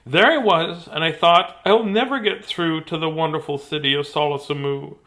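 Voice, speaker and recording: A man's voice: a little high, moderate pace, pushed and bright, pitched above the performer's own voice. A man voicing a hapless young storybook hero who tells his troubles in dramatic, plaintive rhyme. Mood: daunted.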